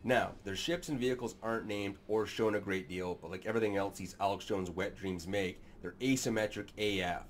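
A man's voice: fairly low pitch, steady tone, fairly quick, few pauses, slight background noise. A man in his thirties hosting a sci-fi channel, seated in a small room and talking to his webcam with sarcastic, crude, mock-serious commentary. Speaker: sci-fi narration channel host. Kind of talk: sardonic commentary on camera.

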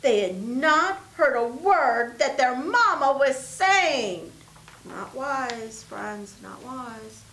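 Woman storyteller, middle-aged, high bright voice, animated picture-book delivery. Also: extremely slow. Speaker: children's librarian. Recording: roomy, echoey sound.

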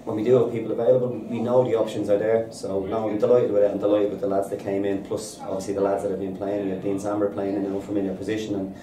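Fast-talking Irish football manager, low flat voice. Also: male voice, distant mic. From Ireland. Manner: upbeat, fast and repetitive, says "listen" a lot. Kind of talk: post-match interview. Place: bare indoor room at a stadium. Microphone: reporter's handheld recorder held out. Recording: echoey room, off-mic, crowd behind.

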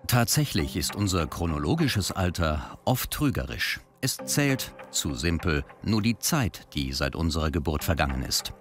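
A man narrates a science report in a measured, explanatory tone. His voice sits very low but moves with a lively intonation. Clear music runs beneath him. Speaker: documentary narrator. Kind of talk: scripted voice-over narration. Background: music bed.

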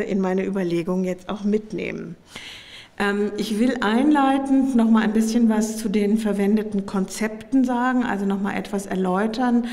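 A middle-aged woman, slightly hoarse from a cold, gives a measured, formal academic lecture, explaining as she goes. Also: medium pitch, darkish tone. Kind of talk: public lecture. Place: indoor lecture room at a podium. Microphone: podium microphones. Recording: reverberant lecture hall.

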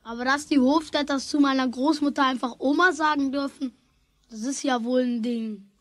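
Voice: high voice, fairly even intonation, normal speed, dry recording, lightly acted. An actor playing a stubborn, jealous schoolboy in a children's radio play, grumbling indignantly to himself. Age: child figure.

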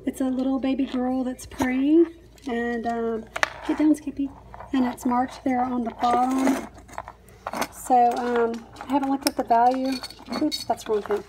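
A woman's voice: sits high, unhurried, recorded from a distance. Bubbly woman vlogging from her car. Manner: bubbly, excited, laughs often. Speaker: reseller vlogger. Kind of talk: casual chatty vlog. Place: parked car, later a dim room at home. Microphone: phone camera at arm's length. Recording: phone mic held a bit away, noisy.

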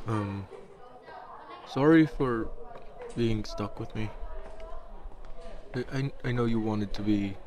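A man's voice: on the low side, background noise. Man acting a shy, stammering male student. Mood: apologetic, nervous. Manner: stammering, hesitant, self-deprecating. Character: shy, awkward male high school student, nervous and self-conscious. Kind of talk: amateur audio roleplay acting.

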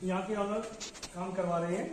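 A man giving a slow, explanatory walkthrough tour of an unfinished commercial building, pointing out each floor. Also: sits high, fairly even intonation, darkish tone. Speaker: property dealer giving a site tour. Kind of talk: walkthrough property tour narration. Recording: echoey, roomy building with ambient noise.

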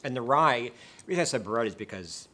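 Male co-host, thirties, casual tasting banter, very lively pitch. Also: fairly quick. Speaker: beer review show co-host. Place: small indoor set with curtain backdrop, seated.

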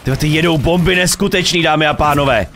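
A man's voice: on the high side, rapid-fire, slight background noise. A young man, a native Czech speaker, giving casual, slangy reaction commentary as a streamer. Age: young adult. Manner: excitable, slangy. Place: home streaming room, indoors. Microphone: desk microphone close up.

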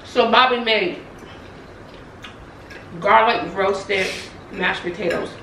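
A woman's voice: mid-range voice, slow pace, noisy background. A middle-aged woman hosting a family vlog, chatting and explaining dishes at the dinner table. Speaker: vlog host and mother. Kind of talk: casual family dinner chat. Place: dining room at home, family at table. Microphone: far camera across table. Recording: echoey room, distant mic, table clatter.

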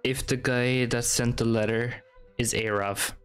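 Male game streamer, mid-pitched voice, casual playthrough commentary over game music. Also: steady tone, measured pace, a bit bright, clean tone.

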